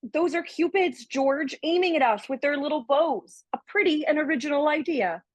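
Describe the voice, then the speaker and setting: A woman playing a prim, teasing young heiress in a radio play, pert and quick to take offence. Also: high-pitched, dry recording, lightly acted.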